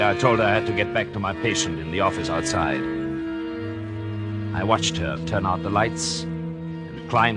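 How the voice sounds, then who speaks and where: A man's voice: lowish voice, close to an everyday voice. An American man playing a brooding, murderous doctor in a 1940s radio drama, intense and melodramatic. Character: brooding doctor secretly plotting to kill his wife. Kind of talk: 1940s radio acting, first-person narration and dialogue.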